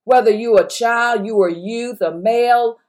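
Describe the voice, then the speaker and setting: A woman's voice: on the high side, a little slow, darkish tone. A woman pastor with a strong voice, preaching an encouraging sermon on a podcast and exhorting her listeners with repeated phrases.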